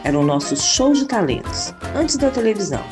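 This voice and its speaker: A woman's voice: a little high, very animated, moderate pace, over music. A woman with a Brazilian accent reading scripted documentary narration with lyrical phrasing.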